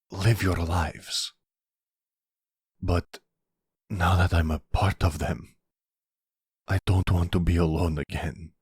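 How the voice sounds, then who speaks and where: A man playing a repentant young crime heir in a roleplay audio drama, hesitant, halting and soft-spoken. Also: sits low, husky, dry recording, studio quality, heavily acted.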